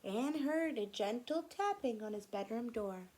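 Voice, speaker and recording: A woman teacher reading aloud to young children, explaining things gently in simple, instructive phrases. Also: fairly high pitch, animated, unhurried, noisy background.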